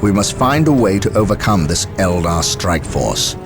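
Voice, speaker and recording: Resolute warrior captain, very low, expressive range, formal authority, game music behind. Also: male voice, moderate pace. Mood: commanding. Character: noble, commanding space marine captain, resolute and cautious. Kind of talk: scripted video game voice acting.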